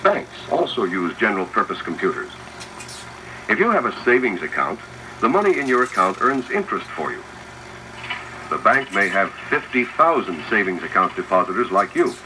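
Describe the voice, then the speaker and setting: An old film soundtrack with clear projector noise carries a man's low voice. He narrates in the instructive manner of a 1970s classroom film, with pitch that rises and falls in a lively way as he addresses the viewer. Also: normal speed, poor audio. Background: mechanical projector noise.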